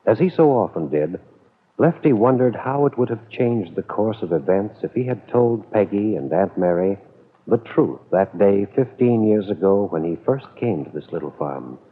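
Male radio serial narrator, low pitch, scripted storytelling, muffled old-time broadcast sound. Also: expressive, moderate pace, breathy edge. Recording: dull, narrow vintage radio recording.

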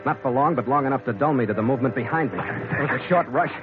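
A man's voice: medium pitch, fast talker. A man narrating in first person as the skipper lead of an old radio drama, in terse, tense sentences. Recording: tinny old radio broadcast.

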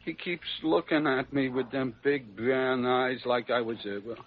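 An actor playing a slangy, soft-hearted working-class ambulance driver who wisecracks in an old radio drama. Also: male voice, medium pitch, steady tone, a little slow, close to an everyday voice. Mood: anxious reluctance. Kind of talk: 1940s radio drama acting. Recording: dull, lightly noisy old radio recording.

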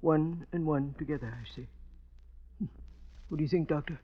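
An actor playing a folksy, gossipy, suspicious janitor in a classic radio drama, talking in a sly, colloquial, hinting way. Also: male voice, a little high, moderate pace, breathy edge. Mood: sly, confiding. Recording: dull old radio recording.

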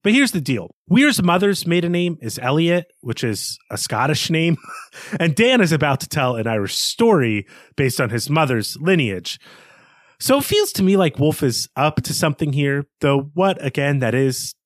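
A man co-hosting a literary podcast, musing about the book and trailing off into quiet asides. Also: fairly high pitch, big pitch swings, moderate pace, dry recording, clean studio sound. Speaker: podcast co-host. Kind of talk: casual book discussion.

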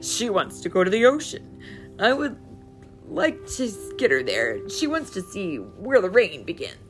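A woman's voice: mid-range voice, wildly swooping pitch, a little slow, faint music behind. A woman doing Silverback, a kind male fish. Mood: friendly calling. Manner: friendly, calling out.

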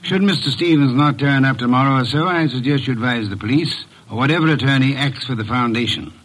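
An actor playing a curt, imperious gourmet detective boss in a classic radio drama, with pompous, precise, formal diction. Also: male voice, medium pitch, fast talker, a bit bright, lightly acted, low-quality recording. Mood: curt, dismissive.

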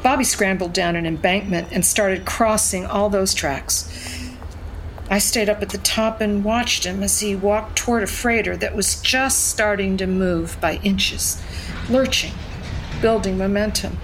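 An actress as a wistful young wife and mother telling her story in an audio drama. She speaks in a measured, reflective way at a middling pitch, with some noise and ambience behind her. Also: close to an everyday voice, rough recording. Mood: somber, reflective. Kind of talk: narrated audio fiction, confessional storytelling.

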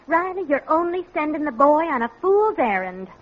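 Exasperated American mom, no-nonsense, animated pitch, 1940s radio sitcom, muffled recording. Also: female voice, normal speed. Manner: scolding, no-nonsense. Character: sensible, exasperated American housewife and mother. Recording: dull old radio recording.

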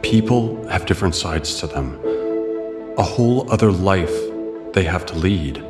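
An actor playing a brooding, secretive male vigilante in an audio drama, speaking tersely and gravely. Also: sits low, lively intonation, a little slow. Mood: hesitant, regretful. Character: brooding masked male vigilante, secretly a troubled rich man. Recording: echoey, loud music underneath.

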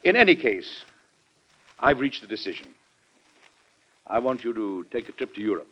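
An actor playing a dignified, worried American president and father in an old-time radio drama, formal and measured with some hesitation. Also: mid-range voice, very animated. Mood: grave, regretful. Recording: dull, band-limited old radio recording.

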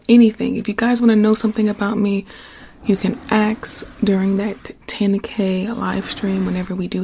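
A hoarse woman chatting casually and reassuringly to her livestream viewers, often repeating herself. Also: medium pitch, mellow, a little hiss or hum. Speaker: ASMR livestream host. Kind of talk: casual chat to live viewers.

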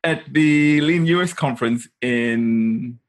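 A man speaks as an interview guest at a middling pitch. He tells personal stories informally and emphatically, often checking that the listener is following. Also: slow. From Zimbabwe. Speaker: interview guest, consultant and coach. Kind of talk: animated personal storytelling in casual conversation.

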